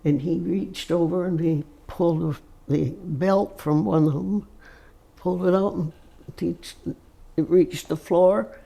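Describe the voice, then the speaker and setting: Gravelly, slow-speaking centenarian woman. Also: lowish voice, fairly even intonation, dark tone. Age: 100s. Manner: slow, halting recollection with many fillers. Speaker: interviewee. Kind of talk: casual storytelling.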